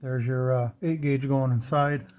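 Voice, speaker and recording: A man's voice: medium pitch, fairly even intonation, a little slow, darkish tone, lo-fi sound. A man giving a casual, plainspoken hands-on walkthrough of his own project, informally pointing things out as he goes. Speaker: vlogger showing his truck wiring project.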